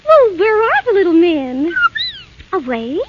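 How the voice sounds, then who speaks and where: Super high lilting girlish princess, wide-eyed n sweet, old muffled radio play. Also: big pitch swings, unhurried, heavy background noise, exaggerated voice. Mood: curious, surprised. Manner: wide-eyed, childlike, theatrical exclamations. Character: sweet, innocent, girlish fairy-tale princess. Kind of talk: 1930s radio acting. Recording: muffled 1938 radio recording with music.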